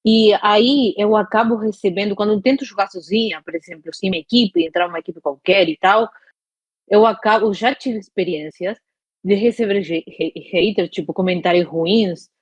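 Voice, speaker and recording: Woman venting frankly, husky. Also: medium pitch, normal speed, no room sound. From Argentina. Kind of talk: casual podcast chat. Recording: dull lo-fi dry recording.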